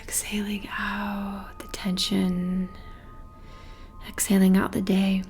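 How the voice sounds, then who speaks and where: Slow, even-toned woman guiding meditation. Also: medium pitch, soft background music. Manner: soothing, breathy phrase endings, measured pauses. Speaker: meditation guide. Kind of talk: guided meditation.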